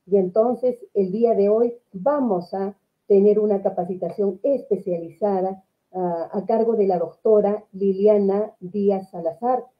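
Dull video-call sound, Peruvian woman, middle pitch, formal, ceremonious, webinar host. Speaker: host, regional government crafts official. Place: small room with bookshelves, indoors. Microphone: computer microphone on video call.

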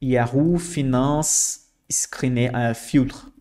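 Young guy, teaching stock filters on screen share, steady mid voice, lots of "ok?". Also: mid-range voice, drawn-out words. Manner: explanatory, frequent "ok?" checks and fillers. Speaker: investing channel host. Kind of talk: screen-share finance tutorial.

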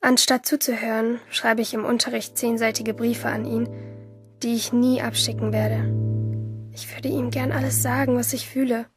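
A dreamy, lovestruck schoolgirl played by a woman confides her story in a radio drama. Her voice is fairly high, over soft music. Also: level tone, normal speed, natural voice. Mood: lovestruck, shy. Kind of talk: first-person radio drama narration.

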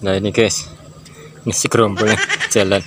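A man's voice: on the low side, flat delivery, normal speed, background noise. A man narrating a walking tour to viewers in a casual, chatty way, filmed on a handheld phone outdoors. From Indonesia. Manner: chatty, addresses viewers as guys. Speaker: travel vlogger. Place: outdoors at a park by a reservoir. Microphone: handheld phone camera. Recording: loud outdoor ambience.

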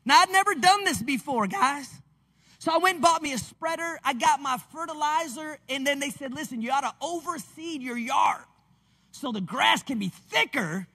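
A man, preaching a lively, conversational sermon on a church stage into a handheld mic. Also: very high-pitched, moderate pace. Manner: animated, conversational preaching with call-and-response. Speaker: pastor. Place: large church stage with screens. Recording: long reverb of a large hall.

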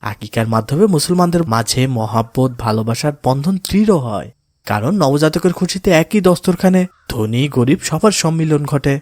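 Calm, pious cartoon father, voiced by a man. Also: a little high, moderate pace, no room sound, clean studio sound, natural voice. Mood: reassuring, pious. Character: pious, kindly adult father giving gentle counsel. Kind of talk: cartoon voice acting in moral story.

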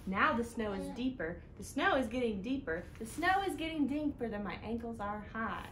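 A woman hosting a children's storytime, reading aloud in an animated, sing-song way that speaks directly to little listeners. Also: on the high side, moderate pace. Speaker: children's storytime host at a library. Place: living room indoors, seated on a couch. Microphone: camera a few feet away. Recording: roomy home sound with noticeable background noise.

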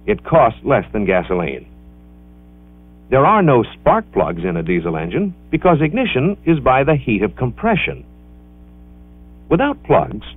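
A male narrator delivers scripted educational film narration with very animated, swooping intonation. He enunciates carefully and explains in a measured, instructional manner. The sound is thin and band-limited, like an old 16mm soundtrack, with very little room echo. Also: mid-range voice, husky, narrow, tinny audio.

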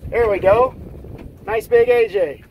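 Guy in his 40s, high voice, hyped, talking while reeling fish on a boat. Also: a little slow, darkish tone, a little hiss or hum, poor audio. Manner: upbeat, narrates while fighting fish, exclamations. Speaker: fishing vlogger. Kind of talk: excited fishing narration. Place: small fishing boat offshore, outdoors. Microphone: action camera, sometimes selfie at arm's length.

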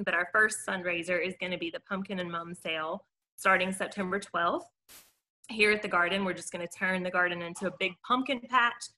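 A woman's voice: mid-range voice, sharp tone. An American woman from Alabama giving an informal, chatty, enthusiastic presentation over a video call. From Mobile, Alabama, United States. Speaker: garden caretaker, presenter.

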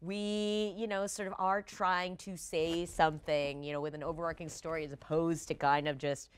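A woman in her thirties talking casually as a guest on a gaming discussion show, rambling and animated, often saying "like" and "you know". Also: low voice, moderate pace, smooth. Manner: rambling, animated, peppered with 'like' and 'you know'. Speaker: tabletop game player, guest on a discussion show. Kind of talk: casual chat. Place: TV-style studio set.

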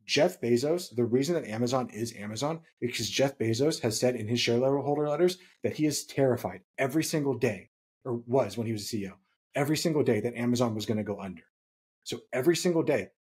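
Husky-voiced man explaining. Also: medium pitch, darkish tone. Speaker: podcast co-host. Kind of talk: explanatory podcast talk. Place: small home room, indoors.

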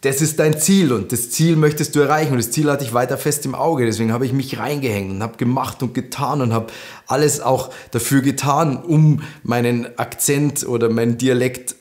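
Man, 30s, Lower Bavarian accent, lively to-camera storyteller, mid-range voice, recorded in a living room. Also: moderate pace. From Lower Bavaria, Germany. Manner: chatty, animated, gestures with hands. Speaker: host.

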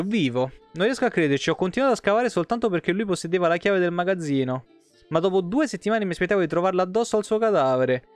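A man's voice: high voice, no room sound. A man, reading game lore aloud and breaking off for informal asides as a live streamer. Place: indoor home streaming setup. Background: soft plucked guitar game music.

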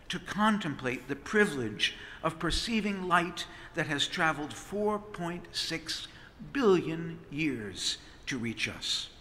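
Slow, bright-voiced priest preaching in church. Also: male voice, a little high, a little hiss or hum. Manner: expressive preaching with sweeping hand gestures. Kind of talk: sermon. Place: large stone church, at the pulpit. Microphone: pulpit microphone on a stand. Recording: long church reverb.

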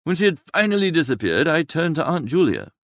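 A man reading a first-person literary story aloud as an expressive narrator. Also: on the high side, fairly quick, no room sound, studio quality. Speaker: audiobook narrator.

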